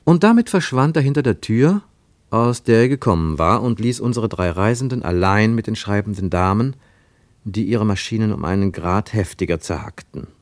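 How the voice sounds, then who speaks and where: A man narrating a radio play as a scripted literary story, with expressive storytelling delivery. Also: on the low side, moderate pace, dry recording.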